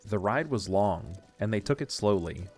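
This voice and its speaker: Low voiced guy narrating an audiodrama story, bit of music behind him. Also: animated, moderate pace, darkish tone.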